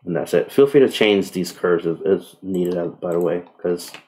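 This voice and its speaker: A man giving a casual software tutorial in a relaxed, unhurried way with tentative asides. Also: deep voice. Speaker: tutorial presenter. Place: indoors, voice-over on screen recording.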